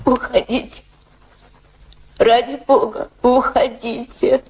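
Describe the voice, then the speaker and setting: A woman's voice: a little high, level tone, takes it slowly, darkish tone, roomy sound. An actress playing a frightened, desperate society woman who pleads and exclaims in a dramatic radio play. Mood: terrified pleading.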